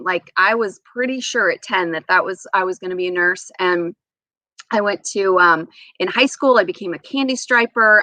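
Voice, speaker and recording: Woman, forties, animated interview guest. Bright, forward voice, casual storyteller, dry close sound. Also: medium pitch, no echo, low-quality recording. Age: 40s. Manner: animated, acts out remembered dialogue.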